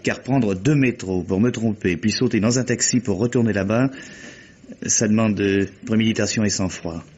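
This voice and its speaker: A man's voice: lowish voice, moderate pace, a little mellow, a little hiss or hum, natural voice. Sardonic man acted, muffled radio sound. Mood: firm, polite. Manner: ironic, biting, assured. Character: shrewd, sardonic male friend of the accused. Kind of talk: French radio mystery drama acting. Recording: dull, muffled old radio recording.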